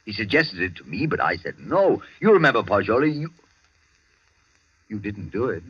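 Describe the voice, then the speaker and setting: An actor playing a well-mannered, loyal and flustered gentleman, hesitant and stammering, in 1940s radio style. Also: fairly low pitch, sing-song, normal speed, slightly rough, no echo. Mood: pleading. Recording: dull vintage 1940s radio recording.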